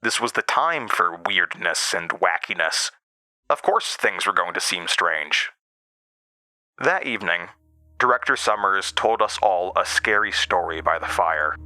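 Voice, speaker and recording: A man giving a measured, reflective first-person narration in a scripted horror audio drama. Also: deep voice, expressive, bright, forceful tone, dry recording.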